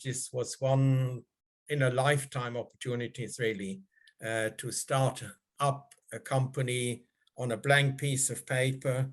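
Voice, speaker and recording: An older man from Switzerland, speaking as a guest at a club meeting over a video call. He talks in a measured, polite way, with frequent filler pauses. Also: mid-range voice.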